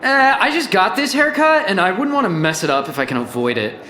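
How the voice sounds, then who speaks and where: Smug, lively-voiced slacker brawler. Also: male voice, high voice, brisk, roomy sound, slight background noise, exaggerated voice. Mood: nonchalant. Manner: sardonic.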